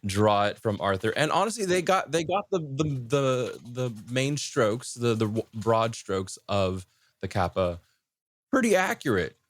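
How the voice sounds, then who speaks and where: A man explaining folklore in casual conversation as a podcast guest, haltingly rephrasing and repeating himself as he goes. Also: medium pitch, very animated, dry recording.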